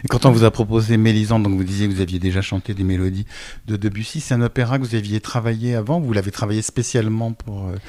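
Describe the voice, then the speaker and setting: Male radio host, lowish voice, long meandering questions. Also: steady tone, fast talker, mellow. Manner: long wandering questions with many fillers. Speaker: radio interviewer. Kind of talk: radio interview.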